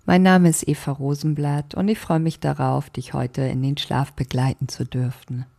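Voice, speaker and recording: A woman leading a guided sleep meditation as a yoga nidra teacher, speaking softly in a calming, deliberate way. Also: deep voice, vocal fry. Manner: soft, calming, deliberate instruction.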